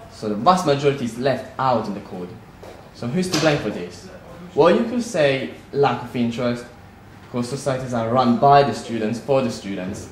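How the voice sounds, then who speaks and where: A man's voice: medium pitch, slight background noise, rough recording. A young man presenting a feature in scripted narration. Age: young adult. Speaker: student TV presenter.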